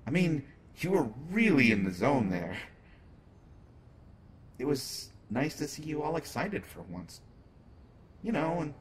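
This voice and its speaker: A man playing a flirtatious, teasing superhero who is secretly smitten, in a halting, playful conversational way for a roleplay audio drama. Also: medium pitch, background noise, lightly acted. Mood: apologetic, teasing. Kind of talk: roleplay audio drama, acted monologue to listener.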